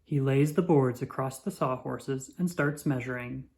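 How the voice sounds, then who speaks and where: Storybook narrator, mid-range pitch, expressive delivery, measured read-aloud style. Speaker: children's book narrator.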